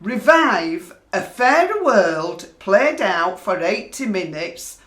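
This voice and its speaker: An actress plays a probing woman in a radio play, her voice ringing in a roomy space. She speaks slowly and deliberately, with expressive rises and falls, pressing her analysis home. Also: mid-range voice. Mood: analytical, insistent. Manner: deliberate, pointed, challenging. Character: probing, analytical female questioner challenging an obsessed man. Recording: roomy, echoing voice recording.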